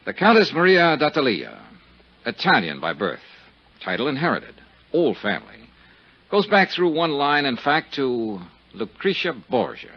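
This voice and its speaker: An actor playing a tough, wisecracking investigator in 1950s radio detective drama, blunt and sardonic, with a husky voice. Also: male voice, medium pitch, sing-song, normal speed. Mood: pressing, sardonic. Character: tough, brash, wisecracking American male investigator. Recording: dull 1950s radio broadcast recording.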